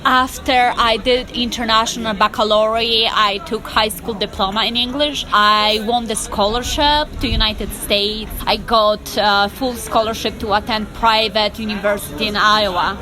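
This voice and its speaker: A woman's voice: on the high side, steady tone, moderate pace, hardly any pauses, sharp tone, faint music behind. A young woman from Poland with a Polish accent, telling her personal story as an interview guest in short, emphatic sentences. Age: young adult.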